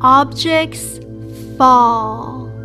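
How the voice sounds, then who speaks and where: A young woman presenting and reading for young children in an upbeat, clearly enunciated way, as a storytime host. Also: sits high, drawn-out words, sharp tone, faint music behind. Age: young adult. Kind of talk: children's read-aloud and presenting.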